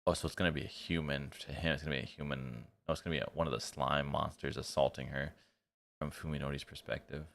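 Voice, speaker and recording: A man's voice: rumbling low, steady tone, quick pace, creaky. A man streaming a visual novel, reading its text aloud dramatically and breaking off for blunt, slangy, casual reactions. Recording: loud music behind the voice. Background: game music.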